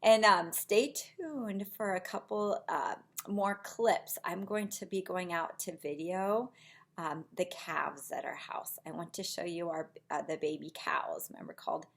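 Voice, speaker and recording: A middle-aged woman reading a picture book to young children in a deliberate, sing-song way, with simple asides, recorded in a room at home. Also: fairly low pitch, creaky. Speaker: preschool teacher reading aloud. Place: small indoor room at home.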